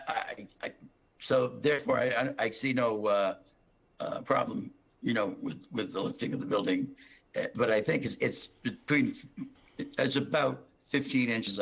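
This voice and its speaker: Hoarse gravelly man at a meeting. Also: mid-range voice, a bit bright. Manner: rambling, many restarts and fillers. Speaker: applicant presenting a building plan. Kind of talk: public meeting testimony.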